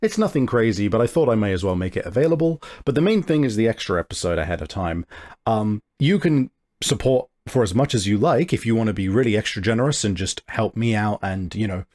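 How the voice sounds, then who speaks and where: A man's voice: on the low side, expressive, a little fast, a little mellow. A man speaking casually and conversationally as the host and game master of a tabletop podcast. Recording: music playing behind the voice. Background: music.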